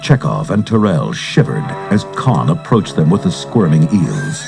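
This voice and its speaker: A man's voice: a bass voice, very animated, unhurried, gravelly. A man giving dramatic scripted narration for a read-along adventure story. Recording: cassette transfer with music underneath.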